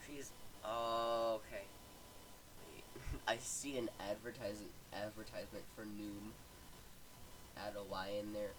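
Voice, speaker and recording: A man's voice: on the low side, level tone, slow, long pauses, background noise. A man casually reading online stories aloud on a home microphone, stumbling over words and stopping to comment. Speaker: story reader.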